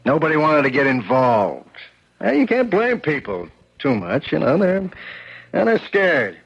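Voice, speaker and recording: A brusque police lieutenant. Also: male voice, fairly high pitch, huge pitch swings, measured pace, creaky, husky, exaggerated voice. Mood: placating. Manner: brusque, offhand, with many uhs. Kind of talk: 1970s radio drama acting. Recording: dull lo-fi 1970s radio recording.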